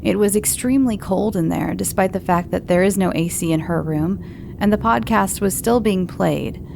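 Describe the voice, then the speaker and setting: A woman podcast host reading listener horror stories aloud in a calm, unhurried storytelling manner. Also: mid-range voice, creaky, noisy background.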